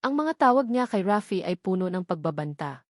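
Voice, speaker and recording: A woman's voice: fairly low pitch, sing-song, fairly quick, a little mellow, dry recording, clean studio sound. A woman with a Filipino accent reading a dramatic romance story as a scripted narration.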